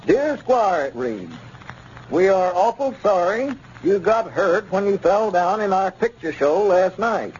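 An actor playing a formal, pressing small-town lawyer in a 1930s radio comedy, questioning deliberately. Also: male voice, high voice, very animated, a little mellow, a little hiss or hum. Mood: deliberate reading. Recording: muffled 1930s radio recording.

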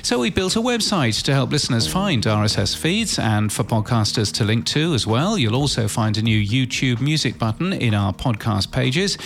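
A man presents a scripted podcast news bulletin with music behind him. His delivery is crisp and orderly, moving from headline to headline with the odd dry aside. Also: mid-range voice, fairly bright tone.

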